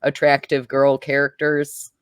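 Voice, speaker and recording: A woman with a low voice hosts a casual film discussion. She speaks with open enthusiasm, and the sound is dry and close, free of room echo. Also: completely flat delivery, unhurried, smooth. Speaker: host of a film review show.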